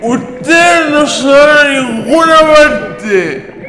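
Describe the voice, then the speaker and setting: A man's voice: extremely high pitch, animated, drawn-out words, heavily acted. A man playing a rowdy, pushy rustic Chilean drinker in a radio drama, with a jeering, colloquial way of talking. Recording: echoey, noisy crowd scene with animals.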